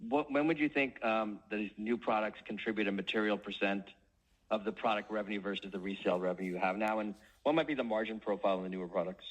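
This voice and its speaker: A man with a fairly low voice speaks as a financial analyst on an earnings call. He puts his questions in a conversational, businesslike way. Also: quick pace, poor audio.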